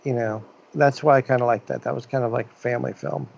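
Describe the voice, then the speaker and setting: A man's voice: mid-range voice, steady tone, fast talker, gravelly, darkish tone. A man co-hosting a casual podcast, chatting and telling long, loose stories. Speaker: podcast co-host. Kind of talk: casual chat. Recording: dull lo-fi mic.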